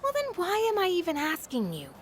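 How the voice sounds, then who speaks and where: A woman voicing a friendly, earnest, slightly shy teenage schoolgirl in a video game, soft-hearted and reflective. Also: very high voice, huge pitch swings, fairly quick, heavily acted. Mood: cheerful, playful. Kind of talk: English video game voice acting. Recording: background music under the voice.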